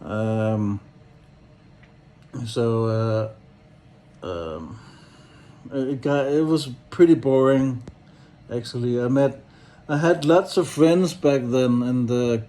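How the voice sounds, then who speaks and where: Slow, halting Danish man, mellow voice. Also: medium pitch. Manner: hesitant, halting, often trailing off, blunt and sweary. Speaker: solo activist and vlogger. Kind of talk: rambling casual monologue to camera.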